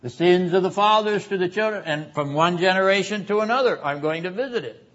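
A man lecturing on the Bible in a classroom, explaining didactically with rhetorical questions and frequent throat clearing. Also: high-pitched, fairly quick, fairly bright tone. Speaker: seminary lecturer. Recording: slightly dull classroom recording.

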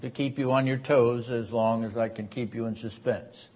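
A man giving a theology lecture as a seminary academic, speaking in a deliberate, emphatic manner with rhetorical repetition. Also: mid-range voice, fairly even intonation, darkish tone. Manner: frequent throat-clearing. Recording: dull, muffled lo-fi lecture recording.

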